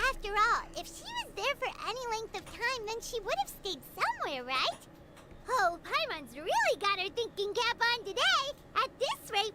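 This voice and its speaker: A woman's voice: very high voice, heavy background noise, full character voice. A woman voicing a tiny, chirpy, curious childlike fairy companion in a video game. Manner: speaks of self in third person, eager. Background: music.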